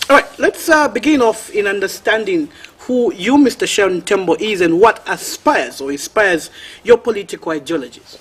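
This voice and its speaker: A man's voice: high-pitched, expressive, moderate pace, few pauses, a bit bright, husky. A Zambian man hosting a formal television interview, introducing and questioning his guest.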